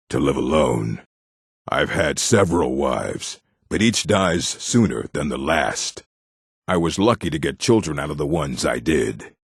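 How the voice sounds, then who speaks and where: An actor, a man, playing a surly, domineering orc with a gravelly, raspy voice and brusque delivery in a video game. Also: extremely low pitch, no echo, clean studio sound, full character voice. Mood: gloomy, proud. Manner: curt, brusque. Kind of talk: video game character acting.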